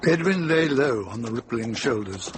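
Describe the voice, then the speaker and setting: An actor narrating a medieval mystery as the storyteller of a radio drama. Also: male voice, mid-range voice, lively intonation, normal speed, lightly acted. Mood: suspenseful narration. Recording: noisy vintage radio drama recording.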